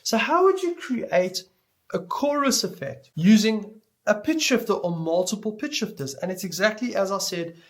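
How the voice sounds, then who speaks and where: A man giving an explanatory tutorial lecture as an instructor, speaking deliberately and stressing key words as he goes. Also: high-pitched, a bit bright. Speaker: music production instructor.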